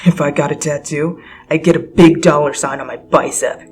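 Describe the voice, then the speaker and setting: A woman's voice: low-pitched, fairly even intonation, normal speed, darkish tone, close to an everyday voice. A woman voicing a cocky, crass male senior student in a dramatic fan fiction reading over music. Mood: smug. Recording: music behind the voice.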